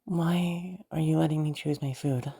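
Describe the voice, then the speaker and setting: A woman performs a composed, logical child from a rich family in an audio drama. The voice is slow and husky. The child stays polite and matter-of-fact. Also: low voice, steady tone, warm tone, voice pushed up, full character voice. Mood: calm, cautious.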